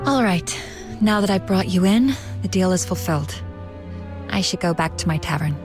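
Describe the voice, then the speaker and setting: A woman voicing a shrewd, composed female tavern keeper in a video game, smooth-talking and self-assured. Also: lowish voice, animated, moderate pace, over music, natural voice. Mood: cool, businesslike.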